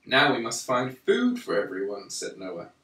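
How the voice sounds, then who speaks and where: A man reading a children's story aloud in a measured, deliberate way, pausing between phrases. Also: mid-range voice, huge pitch swings, bright, forceful tone. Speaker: storyteller reading a Bible picture book to a young child. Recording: roomy, echoey, slightly distant microphone.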